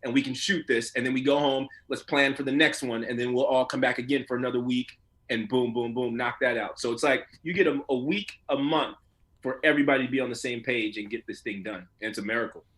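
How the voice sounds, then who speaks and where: A man who works as an actor, talking casually and colloquially as an interview guest, telling stories in an animated way. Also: medium pitch, quick pace. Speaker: voice and motion capture actor being interviewed.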